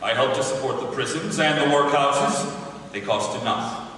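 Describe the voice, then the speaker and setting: A man's voice: medium pitch, moderate pace, a bit bright, lightly acted. A man playing a bitter, miserly old uncle in a dramatic reading, curt, scornful and emphatic. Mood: cold, bitter. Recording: distant, echoey hall, some hiss.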